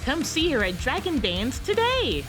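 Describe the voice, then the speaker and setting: A woman's voice: high voice, huge pitch swings, moderate pace. Perky lady ad announcer, voice bouncing all over, music behind. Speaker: advert announcer. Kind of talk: parody radio advert.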